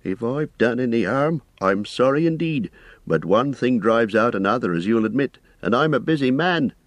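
Fretful forgetful old innkeeper guy done by a man, way up high and slow, dry. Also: animated, dry recording, close to an everyday voice. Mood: flustered rambling. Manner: rambling, flustered, drawn-out phrasing. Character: fussy, forgetful, anxious old male innkeeper.

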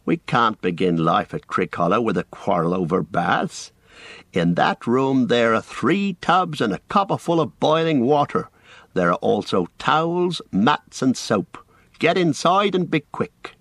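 A man voicing a cheerful, bossy young male hobbit who gives brisk orders in a raised, lively voice. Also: mid-range voice, dry recording, studio quality. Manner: brisk, commanding, exclaiming.

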